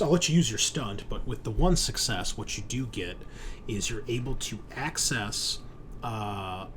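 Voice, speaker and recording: Male game master, lowish voice, improvised sci-fi horror narration, conversational and descriptive, slight background noise. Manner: casual, explanatory, descriptive.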